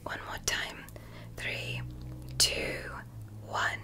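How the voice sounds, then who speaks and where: A woman whispering as a gentle alien doctor. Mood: soothing. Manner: soft, slow, praising and reassuring. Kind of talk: ASMR roleplay.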